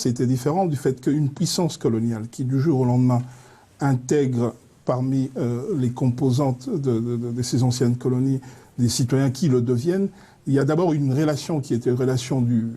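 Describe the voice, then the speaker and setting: A man speaking as a studio debate guest. His voice is very dark and husky, and he builds long, winding arguments with frequent pauses. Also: medium pitch. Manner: measured, long winding sentences with hesitations.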